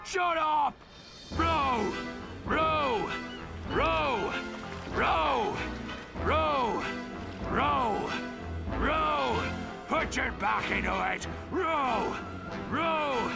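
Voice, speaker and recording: Villainous undead pirate captain: high-pitched heavy gravel, bossy and theatrical, stage-pirate accent, cartoon game delivery. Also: male voice, lively intonation, drawn-out words, pauses often, full character voice. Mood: cranky, bossy. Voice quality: gravelly, growling. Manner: theatrical, blustering old-time pirate speech. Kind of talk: video game cartoon acting. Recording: loud music underneath.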